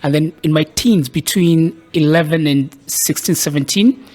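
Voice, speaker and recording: A man's voice: a little high, moderate pace, darkish tone. A man from Kenya, a podcast guest, thoughtfully recounting his life in a casual, reflective way. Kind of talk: casual interview storytelling.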